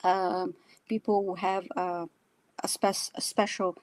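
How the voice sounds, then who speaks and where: A woman from Brazil, a native Portuguese speaker with a Brazilian accent, chatting casually about herself in a halting, self-deprecating way. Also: fairly low pitch, little pitch movement, darkish tone, no echo. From São Paulo, Brazil. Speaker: guest, animal communicator and energy healer. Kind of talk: casual personal storytelling in a live chat.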